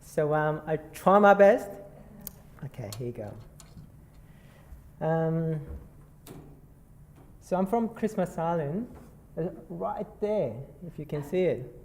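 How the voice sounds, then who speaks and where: A man with a Korean accent speaks at a conference in a halting way, full of fillers. His voice is soft and mellow. It rings through a large reverberant hall over faint ambient noise. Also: a little high, lively intonation. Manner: halting, self-deprecating, many fillers. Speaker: nurse presenter. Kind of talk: conference talk.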